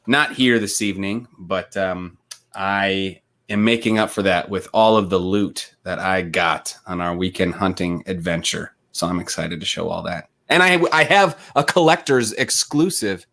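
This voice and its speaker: Man, co-host, stuffed up with a cold, lowish voice, cheerful chatty casual talk. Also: unhurried, fairly bright tone. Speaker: regular co-host. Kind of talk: casual live-stream chat.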